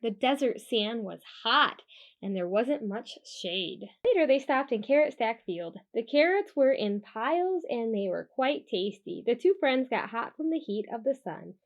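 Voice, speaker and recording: A woman reading a children's storybook aloud in an expressive, emphatic way, with informal asides. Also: a little high, a little slow. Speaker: children's storybook reader and host. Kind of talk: animated children's read-aloud with casual asides.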